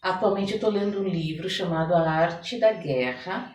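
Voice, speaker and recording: A woman's voice: fairly low pitch, moderate pace, a little mellow, muffled recording. Roomy distant recording, woman, São Paulo Brazilian accent, conversational podcast guest, reflective, repeats for emphasis. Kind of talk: conversational interview. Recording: roomy echo, distant microphone.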